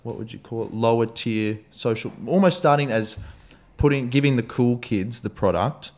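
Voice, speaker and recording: A young man with an Australian accent hosting a casual podcast interview, loose and jokey and quick to swear. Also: medium pitch, darkish tone. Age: young adult. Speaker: podcast host.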